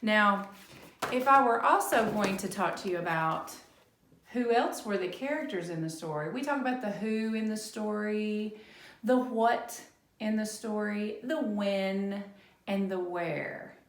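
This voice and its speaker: Female educator discussing a picture book with children; measured pace, frequent questions, echoey room. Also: medium pitch. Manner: rhetorical questions and tag questions. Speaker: speech-language pathologist reading to children. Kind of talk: children's lesson on a story.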